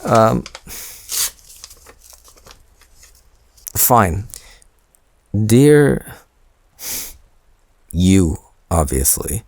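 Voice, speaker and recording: Male actor as shy smitten young man, hesitant, stammering, unhurried, gravelly creak, intimate boyfriend roleplay. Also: fairly low pitch, big pitch swings, darkish tone, dry recording, full character voice. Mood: reluctant, bashful.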